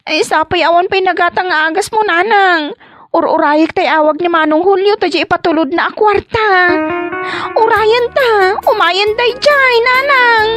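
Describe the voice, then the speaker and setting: A woman playing an excitable, nagging younger sibling who exclaims and whines in a comedy audio drama. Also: very high voice, a little slow, soft background music. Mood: worried, whiny. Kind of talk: comedy radio drama acting.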